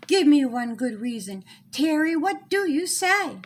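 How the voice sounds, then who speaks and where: A woman's voice: high-pitched, very animated, measured pace. A woman voicing a small, earnest storybook child who reasons plainly with others. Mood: upset, firm.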